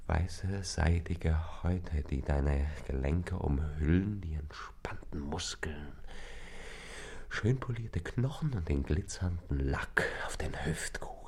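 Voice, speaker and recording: Low and husky, a rapturous lover in a radio drama. He speaks slowly and with poetic fervour, the gravelly voice often thinning into breath. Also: darkish tone, exaggerated voice. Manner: poetic, fervent, deliberate declamation. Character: rapturous male lover, a stranger in a rented room. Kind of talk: literary German radio play acting.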